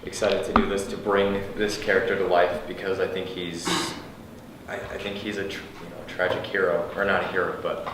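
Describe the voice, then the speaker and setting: Low-voiced man in an echoey, noisy room. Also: level tone, fairly quick, far from the mic. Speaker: actor at a table read. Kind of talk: earnest group discussion. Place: indoor room with a group.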